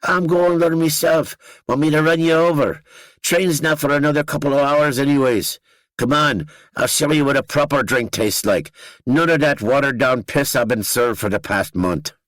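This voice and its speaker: A man's voice: on the high side, moderate pace, dry recording, voice pushed up, natural voice, low-quality recording. A man putting on a rowdy Northern Irish drinker. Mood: rowdy teasing. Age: 20s. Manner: boisterous, teasing, loud.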